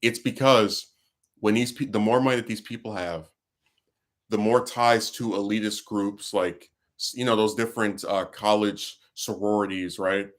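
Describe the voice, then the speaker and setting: A man's voice: fairly low pitch, steady tone, moderate pace. A man hosting a casual talk stream, venting about politics in a rambling way, full of fillers and restarts. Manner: frustrated, venting. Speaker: livestream host.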